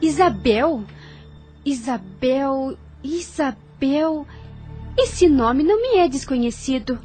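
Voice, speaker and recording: A woman playing a high, anxious young sister. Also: animated, takes it slowly, darkish tone, faint music behind. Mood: worried. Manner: questioning, emotional. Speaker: audio drama actress. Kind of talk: radio drama acting.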